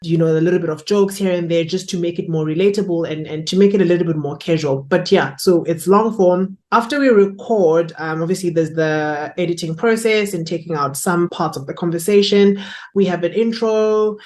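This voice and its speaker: A woman's voice: fairly low pitch, fairly quick, a little mellow. A woman with a South African accent talking casually in an interview as a podcast producer and host.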